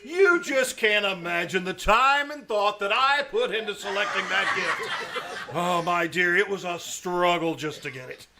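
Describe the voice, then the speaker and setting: High-pitched, pompous school principal. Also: male voice, lively intonation, a little slow. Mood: effusive. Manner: pompous, theatrical, effusive. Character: blustering, grasping, self-satisfied older male school principal. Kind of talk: old-time radio comedy acting before a live audience. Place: small-town theater stage. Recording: live stage recording with noise.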